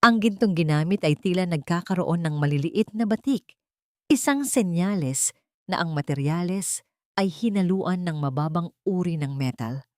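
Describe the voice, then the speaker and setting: A woman narrating a scripted drama in a solemn, deliberate storytelling manner. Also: lowish voice, animated, no echo, clean studio sound.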